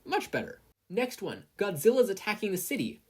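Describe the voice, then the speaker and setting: A podfic reader voicing a brisk, confident woman who is a pro-hero mentor. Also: medium pitch, sing-song, a little mellow, breathy edge, voice pushed up. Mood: rapid, testing.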